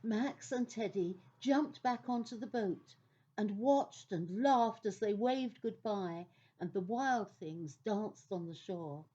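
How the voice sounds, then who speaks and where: A woman narrating a scripted children's adventure story as a gentle storyteller. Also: medium pitch, takes it slowly, breathy edge, lo-fi sound. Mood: gentle storytelling.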